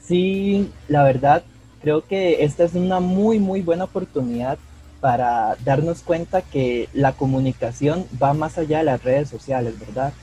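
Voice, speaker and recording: A man's voice: a little high, unhurried, dark tone, slightly rough, rough recording. A young man with a Costa Rican Spanish accent co-hosting a podcast. He talks earnestly, often repeats words and keeps adding "¿verdad?". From Costa Rica. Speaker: podcast co-host, mycologist. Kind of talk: casual podcast chat.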